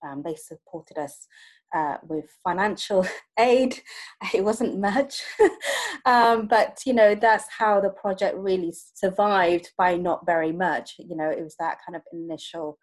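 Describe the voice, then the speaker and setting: A woman's voice: medium pitch, lively intonation, unhurried. A woman in casual podcast conversation, talking with many fillers and frequent small laughs. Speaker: project co-lead.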